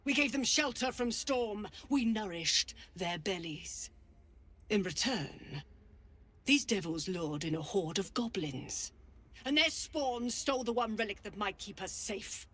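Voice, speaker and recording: An actress playing a stern, zealous druid leader, cold, commanding and contemptuous, in a video game. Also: female voice, mid-range voice, expressive, a little slow, fairly bright tone. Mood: cold, accusing.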